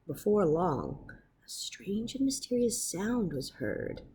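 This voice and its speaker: A woman reading a children's story aloud as narrator, dramatic and expressive. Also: mid-range voice, slow, husky. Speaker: storybook reader. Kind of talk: animated read-aloud narration.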